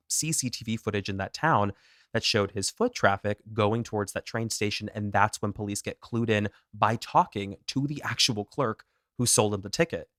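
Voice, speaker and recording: A man co-hosting a true crime podcast, walking through a case in a casual, animated storytelling way. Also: on the low side, no echo, studio quality. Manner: animated, self-deprecating, laughs at own pronunciation. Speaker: podcast co-host.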